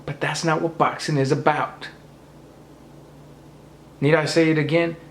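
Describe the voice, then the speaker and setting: A man's voice: a little high, level tone, measured pace, roomy sound, slight background noise. A man losing his voice, ranting emphatically about boxing and lecturing his viewers. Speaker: boxing commentator. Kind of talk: opinionated rant to camera.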